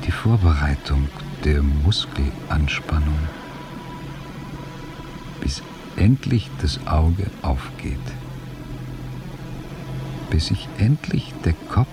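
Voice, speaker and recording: A helpless, brooding inner voice in a radio play, extremely slow and very low. Phrases halt and sink into a hush, gravelly at the edges, with music clearly behind. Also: male voice, animated, heavily acted. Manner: halting, brooding, hushed phrase endings. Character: man trapped in slowed time, narrating his helplessness inwardly. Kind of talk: radio drama inner monologue.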